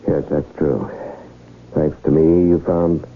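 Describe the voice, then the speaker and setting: A man playing a wheedling, baffled professional swindler in a 1970s radio drama, speaking in a halting way and repeating himself. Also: a bass voice, flat delivery. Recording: tinny, noisy old radio broadcast.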